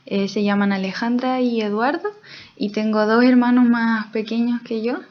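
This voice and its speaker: A woman's voice: fairly high pitch, steady tone, moderate pace. A young woman from Chile with a Chilean accent, a mission student, giving shy, hesitant answers in an interview. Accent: Chilean Spanish. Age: young adult. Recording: dull lo-fi sound.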